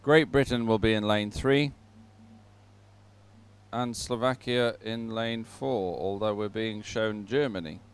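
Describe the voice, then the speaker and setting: Clear-voiced male regatta commentator. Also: lowish voice, slow pace. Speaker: sports commentator, event presenter. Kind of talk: live commentary on a dragon boat regatta.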